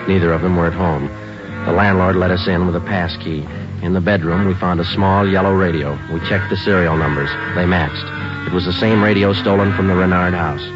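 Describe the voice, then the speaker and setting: An actor playing a clipped, businesslike police sergeant who narrates the case in 1940s radio drama style. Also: male voice, sits low, fairly even intonation, fairly quick, close to an everyday voice. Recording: dull vintage radio broadcast with music.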